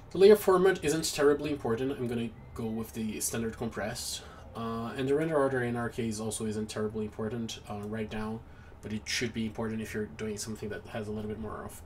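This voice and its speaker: A young man with a Brazilian accent gives a screen-recorded software tutorial at home, hesitant and apologetic, with many ums and restarts. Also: mid-range voice, a little hiss or hum. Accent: Brazilian Portuguese. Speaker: tutorial maker. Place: home room with noisy neighbors.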